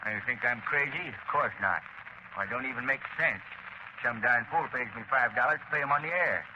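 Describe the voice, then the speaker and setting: A man's voice: mid-range voice, fairly quick, lightly acted. Folksy, gullible older man played by an actor. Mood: amused, gullible. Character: simple, folksy older father, easily amused, gullible. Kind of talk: 1930s radio acting. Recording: noisy muffled 1930s radio broadcast.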